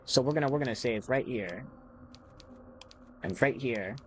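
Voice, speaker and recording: A man giving casual let's play commentary, excitable, swearing and stumbling over his words. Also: mid-range voice, normal speed, slight background noise. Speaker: gamer.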